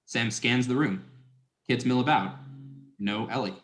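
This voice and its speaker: A man's voice: medium pitch, a little slow, fairly bright tone. A young adult man reading scripted stage directions as a narrator. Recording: echoey, reverberant room.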